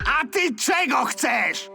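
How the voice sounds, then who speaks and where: Cartoon football coach: domineering, hot-tempered, high creaky voice, slow hammered-out orders, music behind. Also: male voice, lively intonation, heavily acted. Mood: indignant. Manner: barking orders and threats. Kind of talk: cartoon dub acting.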